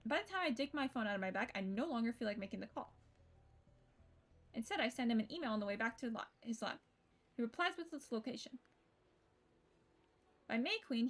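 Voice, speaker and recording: A woman streamer reading a visual novel's narration aloud live. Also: fairly high pitch. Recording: music under the voice. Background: game music.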